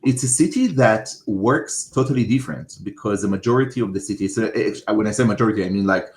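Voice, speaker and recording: A man's voice: fairly low pitch, quick pace, darkish tone. Israeli-accented man, thoughtful guest. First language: Hebrew. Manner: thoughtful, searching for words. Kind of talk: interview storytelling. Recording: roomy echo.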